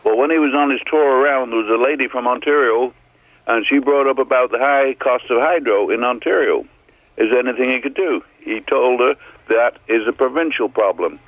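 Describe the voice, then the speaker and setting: A man's voice: medium pitch, brisk, no room sound. An older man with a foreign accent calling a radio phone-in show, plainspoken and skeptical. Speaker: caller. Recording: tinny phone line.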